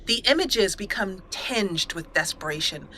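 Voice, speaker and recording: Bright-voiced woman narrating a game roleplay. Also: mid-range voice, lively intonation, a little hiss or hum. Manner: measured storytelling, with an occasional chuckle. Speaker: let's play host.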